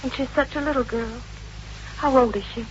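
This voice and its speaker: Actress as distraught, high-voiced wife in old radio drama. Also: female voice, fairly quick, lightly acted. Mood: sorrowful, distressed. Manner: pleading, repetitive, brooding. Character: distraught, bitter wife guarding her beloved dog. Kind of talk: 1950s radio drama acting. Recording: noisy, dull vintage radio recording.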